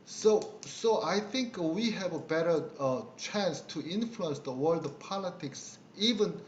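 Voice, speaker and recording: A middle-aged man with a Korean accent, a pastor telling stories in a halting way with many fillers and laughs. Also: high-pitched, expressive, slight background noise. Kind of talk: casual conversational storytelling. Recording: roomy, dull video-call audio.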